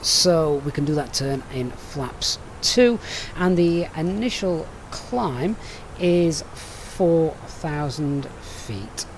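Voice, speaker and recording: A man's voice: a little high, a little hiss or hum, poor audio. A man with a Sheffield accent on a live stream, methodically briefing a flight and chatting with viewers between steps. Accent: Sheffield English. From Sheffield, England.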